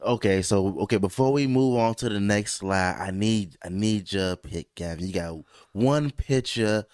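A man's voice: on the low side, unhurried, a little mellow, dry recording. A young man co-hosting a podcast, joking and rambling in loose casual banter from a small studio. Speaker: podcast co-host. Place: small home studio, indoors.

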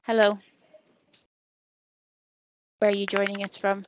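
A woman's voice: medium pitch, monotone, takes it slowly, muffled recording, slight background noise. A woman hosting an online event on a video call, polite and encouraging, often repeating words as she talks. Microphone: computer mic on a video call.

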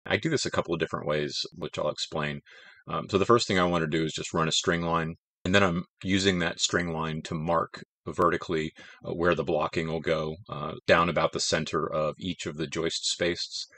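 A middle-aged man calmly explaining his house framing work outdoors on a build site, pausing often with "uh". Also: low-pitched, no echo. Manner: measured, explanatory, frequent "uh" fillers. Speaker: homestead builder. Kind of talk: casual build narration.

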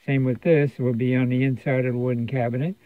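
A man giving a casual hands-on demonstration as a radio restorer, explaining things in a halting way. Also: medium pitch, flat delivery, dry recording. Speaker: radio restorer presenting a repair. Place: indoor home electronics workshop. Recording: tinny, phone-like band-limited sound.

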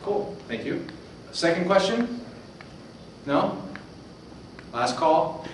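A man's voice: high-pitched, sing-song, extremely slow. A man hosting a community tech meetup, speaking casually and informally to the crowd as he emcees. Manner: casual, repeats audience questions for the room. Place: meetup room with an audience. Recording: echoey room from far off, faint noise.

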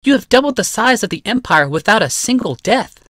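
A man's voice: high-pitched, very animated, brisk, fairly bright tone, no room sound, studio quality, pitched above the performer's own voice, full character voice. A man voicing a sly, smug otherworldly woman. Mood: proud. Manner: teasing, matter-of-fact.

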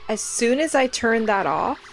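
A woman's voice: fairly high pitch, sing-song, measured pace, faint music behind. A woman giving casual, nervous commentary as she plays a horror game, thinking aloud. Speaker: gaming video host.

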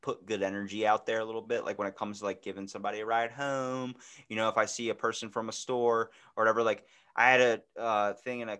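A man in his twenties chatting casually as a podcast host, telling stories in an animated, slangy way with frequent swearing. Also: fairly low pitch, fast, clear, resonant tone. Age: 20s. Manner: animated, profane, slangy storyteller. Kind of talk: casual chat, storytelling. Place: indoor room, podcast video call.

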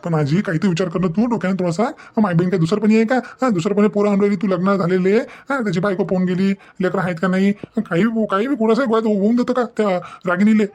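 A woman voicing a protective, confrontational adult brother in an animated family drama, scolding. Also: medium pitch, fast, hardly any pauses, pitched below the performer's own voice, close to an everyday voice. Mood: sarcastic, protesting. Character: protective, angry adult brother defending his sister.

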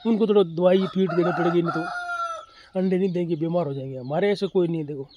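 A man's voice: high-pitched, steady tone, fast talker, muffled recording. A man from Rajasthan, a poultry farmer talking casually and repetitively to viewers, recorded outdoors on his farm. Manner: plain, repetitive, talks straight to viewer. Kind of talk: casual explainer vlog. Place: outdoors on open farm with chickens. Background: chickens clucking, faint noise.

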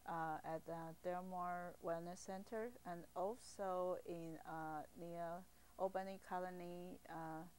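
Lower-pitched Taiwanese-accented woman, hesitant. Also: steady tone, takes it slowly, darkish tone, slight background noise. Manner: hesitant, simply phrased non-native English. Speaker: acupuncturist being interviewed. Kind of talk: informal explanatory interview.

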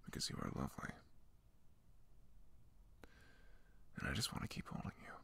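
A man playing a shy, sweet young best friend in an ASMR roleplay, talking gently and hesitantly, close to the mic. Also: rapid-fire, close to an everyday voice. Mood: tender. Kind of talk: ASMR roleplay, soft intimate comforting talk. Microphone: close microphone.

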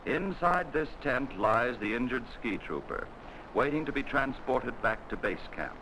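A man narrating a 1940s military training film in a measured, instructional way, on an old film soundtrack. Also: medium pitch, expressive, background noise.

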